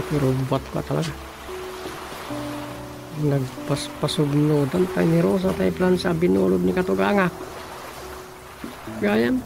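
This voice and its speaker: A man's voice: on the high side, creaky, heavily acted. A Filipino man with an Ilocano accent playing a musing, drawling village man in a radio drama comedy. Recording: music over the voice.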